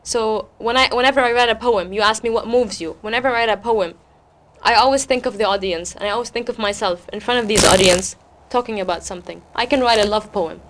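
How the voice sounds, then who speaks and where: Woman poet guest, voice a bit high, expressive, leans on words and repeats them. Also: quick pace. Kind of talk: interview talk and spoken-word poetry recital.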